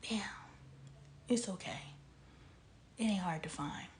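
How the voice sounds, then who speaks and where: A woman, from Texas, talking straight to her audience in a passionate, searching monologue. Her voice sits at an ordinary middle pitch. She leans on rhetorical questions and sometimes sinks to a near-whisper. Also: takes it slowly, a little mellow, slight background noise. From El Paso, Texas. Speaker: vlogger. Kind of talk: casual spiritual commentary monologue.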